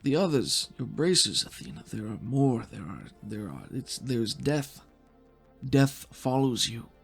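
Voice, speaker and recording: An intense mind-reader played by a male game master speaks with a gravelly creak. He is grave and probing, breaking his phrases with heavy pauses as he questions someone in a tabletop game, with faint music underneath. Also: mid-range voice, big pitch swings, full character voice. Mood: probing, suspicious. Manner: dramatic halting phrases with weighty pauses. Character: intense probing male psychic who reads objects, grave and suspicious.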